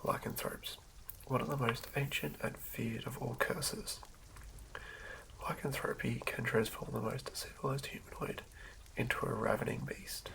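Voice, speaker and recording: A man doing a soothing ASMR reading of fantasy game lore in a hushed, breathy whisper, occasionally stumbling over words. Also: medium pitch, fairly even intonation, unhurried. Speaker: ASMR host. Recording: lo-fi recording with clear background noise.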